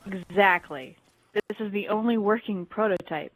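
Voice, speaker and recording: A woman's voice: medium pitch, normal speed, natural voice, poor audio. A woman playing an earnest, plucky young female alien in a sci-fi game audio drama, a little unsure of herself. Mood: frustrated, earnest. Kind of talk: fan audio drama, game-story character acting.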